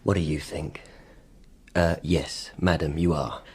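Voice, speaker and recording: Dry english copper, deep and husky, formal, bit sardonic, radio drama sergeant. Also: male voice, fairly even intonation, slow pace, darkish tone, full character voice. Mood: dry, satisfied. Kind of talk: audio drama acting.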